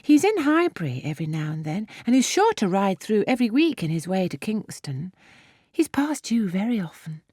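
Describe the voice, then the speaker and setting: Woman plays naive English girl, audiobook classic: flustered, eager, breathy, swooping pitch, close dry sound. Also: very animated, moderate pace, darkish tone, no room sound. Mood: shy, chatty. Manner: breathless, hesitant, artless chatter. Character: eager, naive, good-humoured young girl, easily flustered. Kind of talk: classic novel audiobook character voice.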